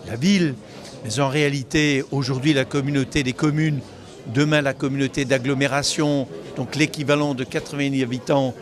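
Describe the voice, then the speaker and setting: A man giving explanatory interview answers as a local official, often halting and repeating words mid-phrase. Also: medium pitch, steady tone.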